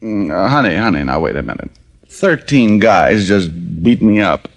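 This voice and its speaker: A cocky private eye with a low, lively voice cracks dry jokes at everything. His tone rises and falls freely while staying unbothered. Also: normal speed. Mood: sheepish joking. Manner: deadpan wisecracks. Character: wisecracking male private detective, dry and cocky. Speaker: private detective. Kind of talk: 1950s radio drama acting.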